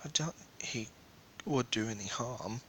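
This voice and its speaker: A man's voice: mid-range voice, a little slow, bright, forceful tone, a little hiss or hum. A man voicing a timid young pony princess in a story reading, meek and feigning innocence. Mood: meekly pleading.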